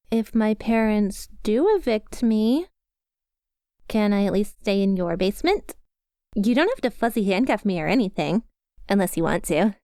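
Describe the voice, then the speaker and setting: A woman's voice: fairly high pitch, a little mellow, clean tone, dry recording, studio quality. A woman playing a bubbly, inept young yandere schoolgirl in an ASMR roleplay, chatty, melodramatic and wheedling as she talks to her crush. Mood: hopeful, coaxing.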